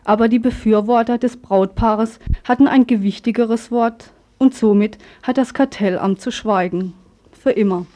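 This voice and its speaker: A woman's voice: a little high, moderate pace, mellow. A woman reading scripted radio news and commentary as a presenter, with a pointed, ironic delivery.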